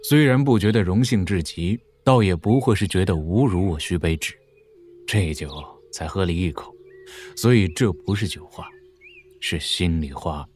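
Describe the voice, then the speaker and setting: A man's voice: low voice, normal speed, a little mellow, a little hiss or hum, lightly acted. A man playing a jocular, hard-drinking official with a casual, teasing, wry manner in a Chinese wuxia audio drama. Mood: wry, frank.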